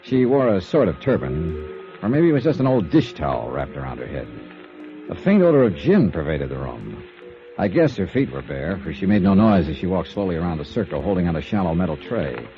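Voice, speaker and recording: An old, muffled radio noir with music behind features a hard-boiled investigator. The low voice tells the case with dry, sardonic skepticism and lively swings of pitch. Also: male voice, a little fast. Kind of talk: 1950s radio noir narration. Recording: dull vintage radio recording with music behind.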